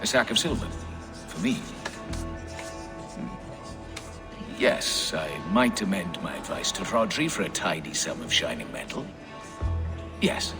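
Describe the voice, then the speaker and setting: An actor playing a self-important, greedy royal advisor in a video game, pompous and sly. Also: male voice, low-pitched, sing-song, normal speed. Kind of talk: dramatic video game acting. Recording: long hall reverb, music underneath.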